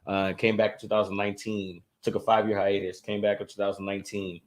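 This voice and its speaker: A man's voice: fairly low pitch, level tone, quick pace. A man in his thirties, a musician guest in a casual interview, laid-back, laughing often and repeating phrases. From Silver Spring, Maryland.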